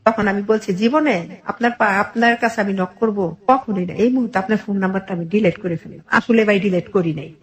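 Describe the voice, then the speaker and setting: A woman's voice: mid-range voice, fairly quick, hardly any pauses. A middle-aged woman with a Bangladeshi accent telling a personal story in conversation, earnestly and in detail, often quoting dialogue. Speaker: interview guest telling her life story.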